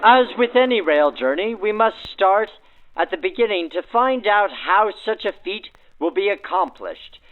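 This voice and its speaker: Man, theatrical 1940s radio host, slightly high voice, promotional narration, narrow vintage radio filter, music underneath. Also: expressive, moderate pace. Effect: backdated 1940s radio filter. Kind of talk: 1940s radio-play announcing and scripted narration. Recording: tinny band-limited 1940s radio sound.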